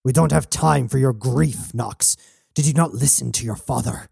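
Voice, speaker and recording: Man playing grave aging mentor, mellow. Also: mid-range voice, animated, measured pace, slightly rough, dry recording, clean studio sound. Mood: firm, urgent. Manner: grave, insistent. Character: aging male mentor, firm and commanding.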